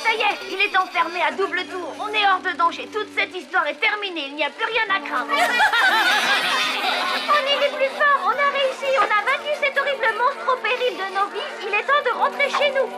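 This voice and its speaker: A woman's voice: extremely high pitch, animated, brisk, over a noisy crowd, very noisy. A woman voicing a theatrical, overdramatic young zombie girl in a cartoon. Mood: melodramatic. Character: melodramatic young zombie girl hamming it up in amateur film. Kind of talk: cartoon voice acting.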